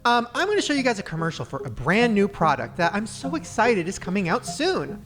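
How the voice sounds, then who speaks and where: A man's voice: high voice, wildly swooping pitch, brisk, fairly bright tone. A man in his thirties hosting a podcast, chatting casually and laughing often. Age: 30s. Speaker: podcast host. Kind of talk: casual chat.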